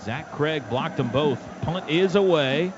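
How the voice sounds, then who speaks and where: A man's voice: on the high side, lively intonation, measured pace, highs cut off. American radio football play-by-play man, noisy broadcast. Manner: clipped present-tense calls in short bursts. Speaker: play-by-play announcer. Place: stadium radio booth. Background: clear outdoor stadium background noise.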